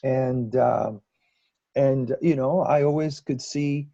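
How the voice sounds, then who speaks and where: A man, telling a personal story in a reflective way with many pauses. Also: medium pitch, vocal fry, warm tone, no echo, muffled recording. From Cuba. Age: 50s.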